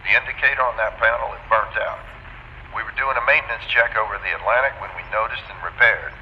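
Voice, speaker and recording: A man's voice: on the low side, fast talker, lo-fi sound. A man acting in an audio drama as a plain-spoken astronaut, talking frankly and folksily over a radio link. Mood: frank, resigned. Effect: radio transmission filter. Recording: band-limited radio, reverberant, faint noise.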